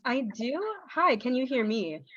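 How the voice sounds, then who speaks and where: A young woman with a Southern US accent speaks in a fairly high voice, chatty and apologetic, with plenty of ums. Her sound is dull and lo-fi, and the video call audio is bone dry. She is presenting an online teaching workshop. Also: very animated, measured pace, no room sound. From Southern United States. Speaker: guest speaker, English teaching assistant. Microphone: video call on phone or computer.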